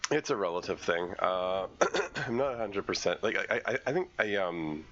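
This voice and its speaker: A man streaming a game, congested from a cold, commenting live and thinking aloud in a halting way as he works through puzzles. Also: deep voice, animated, fairly bright tone, lo-fi sound.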